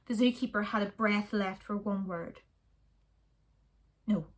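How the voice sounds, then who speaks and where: A woman reading a children's story aloud in a soft, expressive way. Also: mid-range voice, moderate pace.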